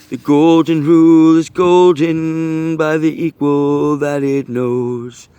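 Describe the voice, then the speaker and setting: A man reading his own rhyming poem aloud in an earnest, deliberate and rhythmic delivery. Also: mid-range voice, darkish tone, clear, resonant tone, no echo. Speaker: poet reading own work. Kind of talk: recited rhyming poetry reading.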